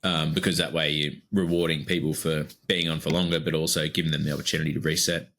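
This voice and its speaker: Australian man, low pitch, bright forceful tone, casual rambling podcast chat, frequent fillers. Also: level tone, fast. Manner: easygoing, rambling, lots of fillers. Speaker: podcast co-host.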